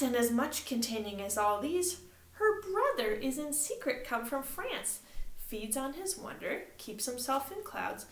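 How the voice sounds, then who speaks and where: A scheming, care-worn king, voiced by a woman reading aloud, weighing each phrase slowly and heavily. Her pitch sits fairly high yet moves a lot. A noticeable echo surrounds the voice. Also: fairly bright tone, heavily acted. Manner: measured, brooding, lowered and heavy. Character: troubled, scheming middle-aged male king.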